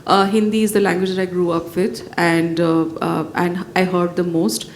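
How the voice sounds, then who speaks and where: A woman's voice: flat delivery, moderate pace. A woman writer whose first language is Bhojpuri answers questions on a panel, thoughtful and full of fillers and restarts. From India. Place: event hall with audience. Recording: echoey hall, faint rumble.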